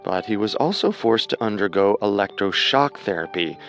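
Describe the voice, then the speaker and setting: A man's voice: on the low side, lively intonation, normal speed, fairly bright tone, soft background music. A man co-hosting a true crime show, reading scripted narration in a dramatic storytelling manner.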